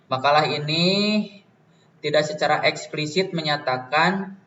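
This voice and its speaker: A young man giving a student presentation from prepared notes in a halting manner. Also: fairly high pitch, fairly bright tone. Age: young adult. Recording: dull, distant, roomy, faint noise.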